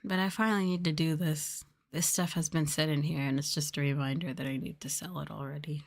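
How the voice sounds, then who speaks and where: Soft, low-pitched woman, ASMR streamer. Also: fairly even intonation, a little fast. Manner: softly spoken, now and then trailing into whispers. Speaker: livestreamer, VTuber host. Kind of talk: soft-spoken ASMR livestream chat.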